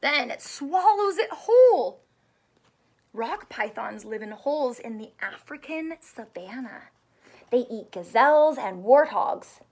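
Woman, high voice, slow delivery, expressive teacher read-aloud, questioning, drawn-out key words. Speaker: teacher reading aloud to young children. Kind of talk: animated read-aloud of a children's book. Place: small indoor room with couch and stuffed toys.

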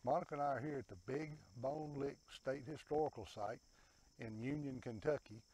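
An older man reading aloud for a devotional in a measured, declarative way. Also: mid-range voice, fairly even intonation, husky. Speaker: devotional host and scripture reader. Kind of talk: scripture reading. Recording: very noisy recording with loud ambience.

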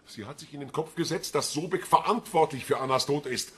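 An actor playing a polite, evasive man under police questioning in a crime radio play, speaking in measured, defensive sentences. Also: a little high, fairly bright tone, husky. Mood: guarded. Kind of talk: radio crime drama acting.

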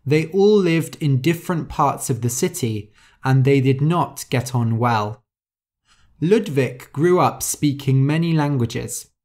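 Very slow, clear podcast storyteller. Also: medium pitch, darkish tone. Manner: spells out words letter by letter and explains vocabulary. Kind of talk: slow, clear story reading for English learners.

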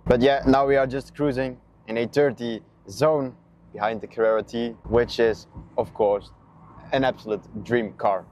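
A man's voice: mid-range voice, a little mellow. A man, chatting casually as a car vlogger while driving. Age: 20s. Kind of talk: casual vlog chat. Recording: clear noise, car and road sound.

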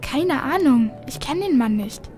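A high, girlish voice plays an inquisitive, earnest girl in a children's radio play. She sounds determined and questioning, with faint music around the scenes. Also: lively intonation, unhurried, darkish tone. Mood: earnest, puzzled. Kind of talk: children's audio drama acting.

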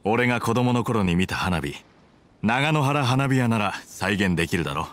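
A man playing a stern, conflicted, secretly kind samurai officer in an anime game, with a formal, measured, brooding delivery. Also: fairly low pitch, lively intonation, fairly bright tone. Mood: subdued, candid. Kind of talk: anime video game voice acting.

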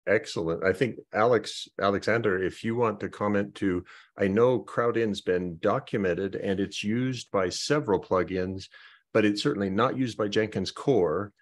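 A man hosting an online project meeting, talking through updates in a halting way and often repeating words as he thinks. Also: lowish voice. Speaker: meeting host.

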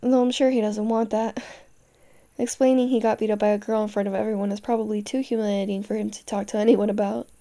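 A woman's voice: medium pitch, level tone, fast, mellow, close to an everyday voice. A woman playing a tough, fiercely protective young girlfriend in an audio roleplay, speaking directly to the listener. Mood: tender, protective.

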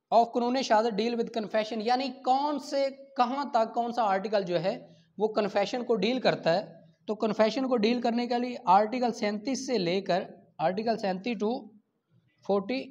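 A man's voice: high-pitched, darkish tone. Pakistani male teacher, dull lo-fi recording. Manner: didactic, asks questions and answers them himself. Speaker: law teacher. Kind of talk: classroom lecture for exam preparation. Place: small indoor classroom at a whiteboard. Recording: dull lo-fi camera sound.

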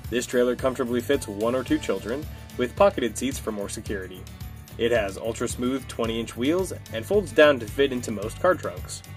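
A man's voice: medium pitch, lively intonation, moderate pace. A man reading a scripted promotional product narration as an advertisement voice-over. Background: music.

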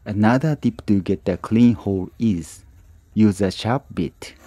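A man narrating his own woodworking tutorial as a calm voice-over, with plain, slightly non-native phrasing. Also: on the low side, a little slow, husky, no room sound. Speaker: woodworking channel host.

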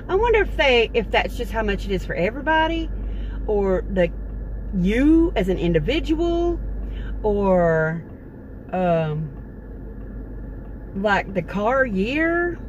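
A woman's voice: mid-range voice, very animated, slow pace, long pauses, highs cut off. Middle-aged woman, meandering folksy chatter, parked car, noisy background. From Texas. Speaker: vlogger. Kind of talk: casual rambling chat to camera. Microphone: phone camera at close range. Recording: car interior with noticeable background noise.

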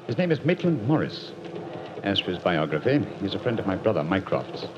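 A brisk brilliant gentleman detective. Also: low voice, big pitch swings. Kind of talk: 1940s radio acting. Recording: dull 1940s radio with noise.

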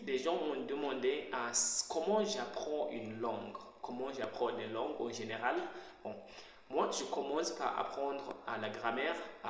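A young man from Zimbabwe whose first language is Shona, talking casually to his laptop camera in a bare kitchen, pausing often to search for words. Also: mid-range voice, fairly bright tone. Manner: hesitant, searching for words with frequent pauses. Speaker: language learner vlogger. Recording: very echoey bare room with faint hum.

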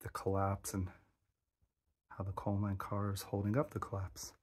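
A man's voice: fairly low pitch, monotone, moderate pace, a little mellow, slightly rough. A man narrating his own exploration of a cramped abandoned mine, enthusiastic and explaining what he sees as he goes.

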